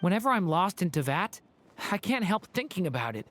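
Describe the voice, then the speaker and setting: A woman playing an upbeat, friendly young adventurer, encouraging and expressive in a video game. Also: lowish voice, fairly quick, faint music behind. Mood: cheerful, nostalgic. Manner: animated, encouraging.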